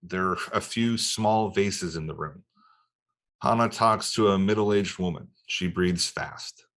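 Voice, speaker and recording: A man's voice: sits low, measured pace, fairly bright tone. A man narrating screenplay stage directions in a scripted table read.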